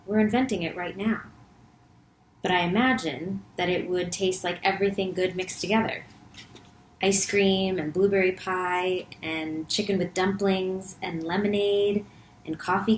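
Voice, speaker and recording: Woman doing an eager bossy little girl, storybook, excited, emphatic, echoey room. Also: mid-range voice, normal speed, natural voice. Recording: echoey room, faint background hum.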